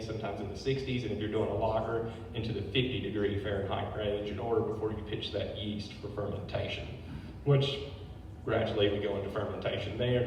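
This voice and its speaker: A man, a brewery owner, giving a public history talk, reading from notes with casual asides. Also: lowish voice. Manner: informal asides between read passages. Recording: very echoey hall, distant mic, faint noise.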